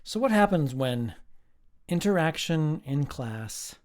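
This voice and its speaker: A man, a professor, giving a slow, emphatic recorded lecture with playful asides. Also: fairly high pitch, big pitch swings, very noisy.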